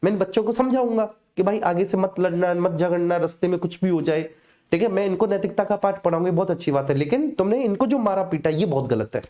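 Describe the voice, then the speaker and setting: This is a man with a North Indian accent and a soft-edged, mellow voice. He lectures like a coaching teacher on a live class, in everyday colloquial speech. His delivery is didactic, punctuated by small check-in tags to his students. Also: high-pitched, quick pace. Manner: colloquial, didactic, checks in with repeated tag phrases. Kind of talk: live online exam lecture with personal anecdotes.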